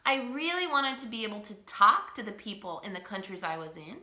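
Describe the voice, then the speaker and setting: A woman's voice: medium pitch, big pitch swings, brisk, sharp tone. A woman, a language coach, giving an earnest teaching talk and repeating her key points for emphasis. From United States. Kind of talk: direct-to-camera teaching talk. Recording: echoey room.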